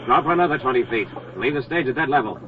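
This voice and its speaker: An actor playing a brave, commanding Navy deep-sea diver in 1940s radio drama style, speaking in clipped, terse phrases. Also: male voice, expressive, quick pace, phone-quality sound, lightly acted. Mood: terse, tense. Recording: noisy, band-limited 1940s radio recording.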